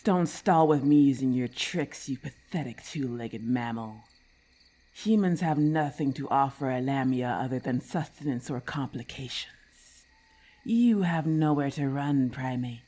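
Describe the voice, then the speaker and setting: A woman voicing a haughty, menacing female lamia in an ASMR roleplay, with a husky, breathy voice and formal, condescending diction. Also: rumbling low, measured pace, dark tone. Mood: hungry, threatening. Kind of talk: ASMR roleplay, breathy half-whispered acting.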